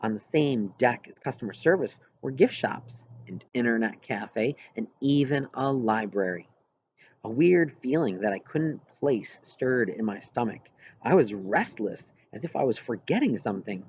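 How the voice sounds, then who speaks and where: A man reading a story aloud as an audiobook narrator, with an expressive scripted delivery. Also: mid-range voice, normal speed, lo-fi sound, slight background noise.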